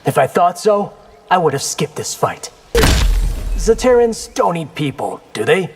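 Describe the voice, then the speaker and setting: An actor playing a cocky, determined young warrior in a video game, casual and wry in delivery. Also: male voice, on the high side, very animated, a little slow, noisy background. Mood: defiant. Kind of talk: video game character dialogue.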